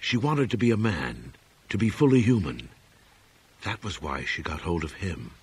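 A man's voice: deep voice, sing-song, normal speed, a little mellow, breathy edge, no room sound. A man reading a horror story as an audiobook narrator, in a dramatic, scripted literary style.

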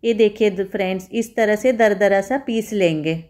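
A woman's voice: mid-range voice, moderate pace, a little mellow. A woman home cook giving a step-by-step recipe voice-over, instructing viewers in a friendly, familiar form of address.

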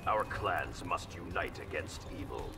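A man playing a stoic, stern ninja clan leader in a fighting game, deep and gravelly. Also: lively intonation, measured pace. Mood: cool challenge. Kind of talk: fighting game character dialogue. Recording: loud game music behind.

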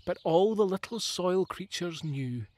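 A man reading a children's story aloud in a gentle, measured and expressive way. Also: high-pitched, no echo. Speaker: narrator.

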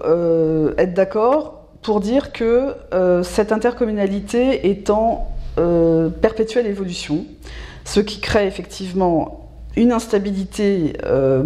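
A middle-aged woman explains things as an expert in an interview, in measured phrases with hesitant pauses. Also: mid-range voice, mellow. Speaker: local government specialist being interviewed. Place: small indoor office.

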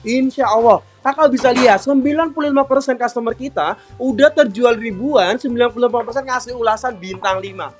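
Upbeat guy with a really high voice selling cakes on a live stream, faint music behind. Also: fairly quick. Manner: chatty and upbeat, constantly addressing viewers warmly. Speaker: live-stream sales host. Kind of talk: live-selling chat, reading out viewer comments.

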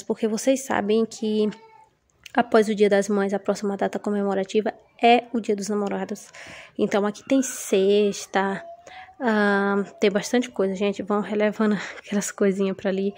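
A Brazilian woman vlogging casually from her home kitchen, a little hoarse from a cold, chatty and often checking in with her viewers. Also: medium pitch, a little mellow. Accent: Brazilian Portuguese. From Maranhão, Brazil. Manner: chatty, frequent tag questions to viewers. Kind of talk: casual haul vlog. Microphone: phone camera held over table.

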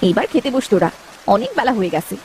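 A woman playing a nagging, sharp-tongued poor village wife and mother in a cartoon story, scolding and sarcastic. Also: medium pitch, sing-song, fast talker, a little hiss or hum. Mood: bossy, impatient. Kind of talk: dramatic cartoon story dub.

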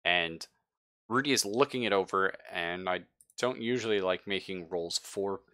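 A man speaking casually and haltingly as game master of an online tabletop game, thinking aloud. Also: low-pitched, sharp tone, no echo, clean studio sound.

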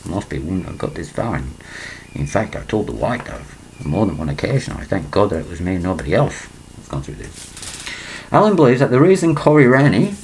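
A man's voice: sits low, sing-song, a little fast, darkish tone, slight background noise, poor audio. A man reads a letter aloud in a small room at home, breaking off often into rambling asides. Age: 60s. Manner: rambling, breaks off his reading to comment. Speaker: vlogger.

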